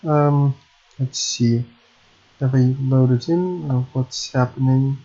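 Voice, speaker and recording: Guy slowly talking thru his coding, hesitant, keeps correcting himself, kinda dull lo-fi mic. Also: mid-range voice, steady tone, mellow. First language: Russian. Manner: hesitant, self-correcting, apologetic. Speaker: hobby game programmer. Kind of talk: thinking aloud while screen recording.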